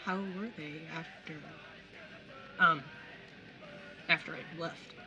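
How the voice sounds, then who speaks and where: Woman acting low-voiced, awkward caring older sibling. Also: fairly even intonation, takes it slowly, music in the background, poor audio. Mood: relaxed, tentative. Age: young adult. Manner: casual, hesitant, wry. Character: awkward, caring older sibling, early twenties, tattooed, teasing. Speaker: narrator and lead character. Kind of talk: audio drama acting with first-person narration.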